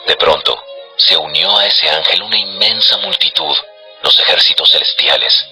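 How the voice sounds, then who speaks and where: A man narrating scripture as a solemn, measured storyteller for a dramatized audio production. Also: a bass voice, pushed and bright, soft background music.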